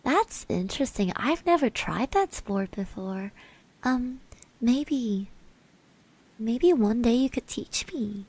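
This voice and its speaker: A woman voicing a shy, sweet, chatty girl classmate in a soft-spoken, hesitant ASMR roleplay. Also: fairly high pitch, expressive, slow pace, full character voice, rough recording. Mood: gentle interest. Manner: hesitant, gentle, refers to herself in third person.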